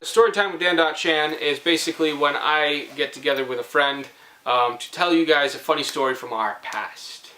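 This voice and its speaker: A man's voice: a little high, moderate pace, pushed and bright, echoey room. A young man from Canada hosting a casual storytelling video, talking in a playful, rambling, informal way. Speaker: host of a storytelling video series. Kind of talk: casual comic storytelling to viewers.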